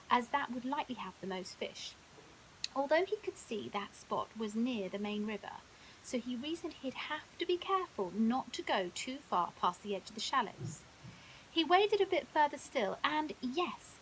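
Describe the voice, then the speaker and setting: A woman reads a scripted children's bedtime story in an expressive, dramatic way. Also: fairly high pitch, poor audio. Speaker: storyteller, primary school teacher. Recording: audible hiss.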